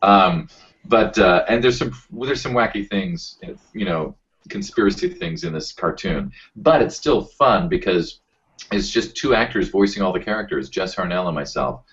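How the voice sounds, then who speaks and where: Very low-voiced man, rambling and self-deprecating. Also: lively intonation, moderate pace, fairly bright tone, lo-fi sound. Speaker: voice actor guest. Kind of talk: casual podcast interview.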